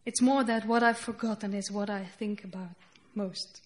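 A woman's voice: mid-range voice, steady tone. Dutch-accented woman reading poetry. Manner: slow, deliberate reading with halting English asides. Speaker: poet. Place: festival stage before an audience.

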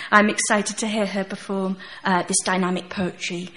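A woman's voice: mid-range voice, level tone, a little slow, sharp tone. A woman host reading a prepared introduction of a poet from notes, with frequent hesitations. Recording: echoey room with faint noise.

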